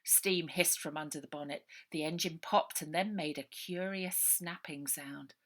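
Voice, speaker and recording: A woman author reading her own children's novel aloud as expressive narration. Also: low-pitched, measured pace.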